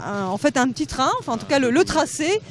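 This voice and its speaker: High-voiced woman reporter, chatty. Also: sing-song, quick pace, slight background noise. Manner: chatty, frequent filler hesitations. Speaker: radio reporter. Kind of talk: on-site interview reportage. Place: outdoors in a forest.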